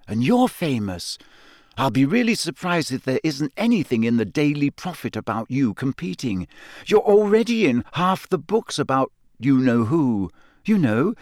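A man's voice: fairly high pitch, a little mellow, no room sound, clean studio sound, pitched above the performer's own voice. Man putting on an earnest teenage schoolgirl.

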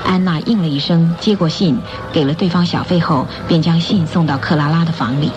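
A woman narrating a radio drama, telling the story in a measured, expressive, scripted style. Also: deep voice. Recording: muffled lo-fi radio recording. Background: music.

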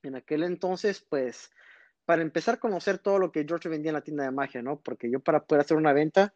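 A man, a little high-pitched for a man. He rambles through his stories with plenty of fillers. His voice comes over a dull, muffled line, dry and close. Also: fast, darkish tone, no room sound. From born in Texas, raised in northern Mexico. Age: 30s. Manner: rambling, storytelling, frequent fillers. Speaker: guest magician. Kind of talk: casual podcast interview.

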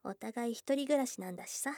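A woman's voice: on the high side, fast, dark tone, no echo, clean studio sound, lightly acted. A woman voicing a shy, blunt young goblin girl in love, in an intimate ASMR voice drama with tomboyish, affectionate speech. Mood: loving, giddy. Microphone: close dry studio mic.

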